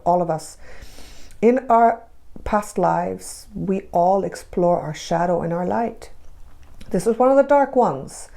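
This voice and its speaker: A woman giving an intuitive tarot reading and guiding a visualization, speaking emphatically and often repeating herself, with a sore throat and a cough. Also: fairly low pitch. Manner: emphatic, repetitive, with soft hushed stretches when guiding. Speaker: tarot reader.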